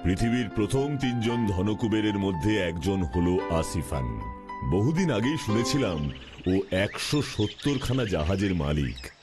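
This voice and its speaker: A man's voice: fairly low pitch, sing-song, a little slow. A man voicing a kindly, knowing superhero big brother in a children's cartoon, explaining things patiently over music. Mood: calm, friendly. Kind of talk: Bengali children's cartoon dubbing. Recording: music behind the voice.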